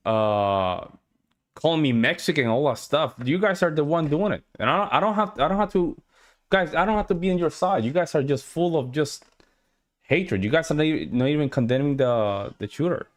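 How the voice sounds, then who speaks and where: Blunt streamer, dismissive. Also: male voice, fairly high pitch, animated, normal speed. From Puerto Rico. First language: Spanish. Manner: blunt, dismissive, repeats himself. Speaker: reaction streamer. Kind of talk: live reaction commentary answering chat.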